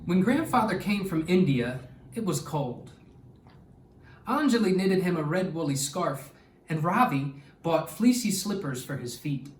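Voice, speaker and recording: Slow, echoey male children's storytime reader. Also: high voice, slight background noise. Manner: expressive, deliberate, draws out words. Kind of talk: read-aloud storytime for children. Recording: echoey room, distant mic.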